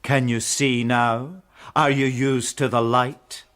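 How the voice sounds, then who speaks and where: An actor playing a gleeful, gloating murderer in a radio drama, with a theatrical, taunting delivery. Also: male voice, medium pitch, little pitch movement, slow, fairly bright tone. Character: gleeful, unhinged male murderer plotting a staged death.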